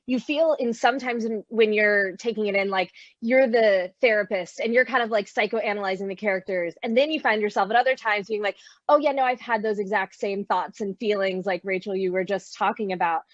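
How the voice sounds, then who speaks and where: A woman's voice: mid-range voice, fairly quick, dry recording. Woman moderating, slightly dull audio. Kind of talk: panel interview. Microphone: video call.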